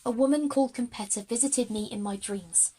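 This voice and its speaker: A woman's voice: on the high side, fairly quick, dark tone, husky. A woman playing an earnest, reassuring, brave schoolgirl leader in a kids' audio drama. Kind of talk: audio drama acting for young audiences.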